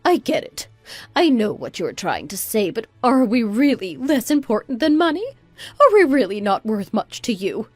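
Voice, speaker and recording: A woman's voice: fairly high pitch, very animated, normal speed, no echo, faint music behind. Woman acting a swooping, pleading older mother-in-law. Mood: aggrieved. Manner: wheedling, guilt-tripping. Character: pleading, manipulative older mother-in-law, self-pitying and indignant. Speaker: voice actor in an online radio drama. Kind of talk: melodramatic radio drama dialogue.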